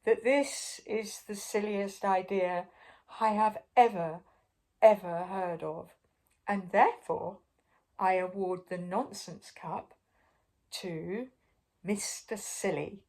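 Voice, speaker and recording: A woman voicing a grand, excitable storybook king who proclaims things pompously. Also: medium pitch, takes it slowly. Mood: solemn, pleased.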